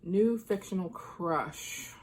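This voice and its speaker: A woman's voice: fairly low pitch, slow, a little mellow, slight background noise, low-quality recording. A woman giving an informal, conversational book review, thinking aloud as she goes. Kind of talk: casual book chat vlog.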